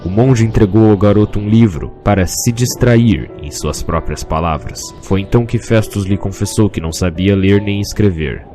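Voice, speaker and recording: Male narrator, low pitch, Brazilian accent, scripted fantasy storytelling, soft music behind. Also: moderate pace, hardly any pauses.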